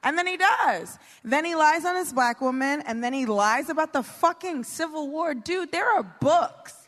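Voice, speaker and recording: Blunt, high-voiced American woman in a hall. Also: animated, moderate pace, a bit bright. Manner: blunt, exasperated, sweary. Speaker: comedian panelist. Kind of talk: informal political rant on a live panel. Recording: echoey hall, live stage show.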